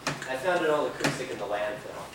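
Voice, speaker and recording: An actor playing a quiet, modest young newcomer in a stage play, giving brief, reserved replies. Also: male voice, mid-range voice, expressive, a little fast, lightly acted. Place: theatre stage, videotaped live from a distance. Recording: echoey noisy theatre hall, distant camera mic.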